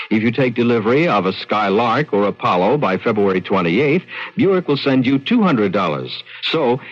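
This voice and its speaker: A man reading a scripted 1970s radio advertisement as a commercial announcer. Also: medium pitch. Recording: muffled 1970s radio broadcast.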